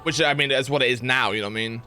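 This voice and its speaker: Bright-voiced man streaming a game. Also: mid-range voice, racing, soft background music. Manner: rambling, filler-heavy, reads chat aloud, yelps with excitement. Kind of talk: casual live-stream gameplay chat.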